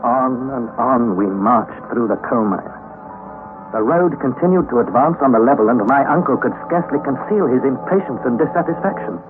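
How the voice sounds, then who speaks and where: A man's voice: mid-range voice, animated, normal speed, loud music behind the voice, lightly acted. Man playing earnest young adventurer, tinny old radio. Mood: weary, grim. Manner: earnest, dramatic, formal. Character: anxious young nephew narrating a perilous expedition. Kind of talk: old-time radio drama narration and acting.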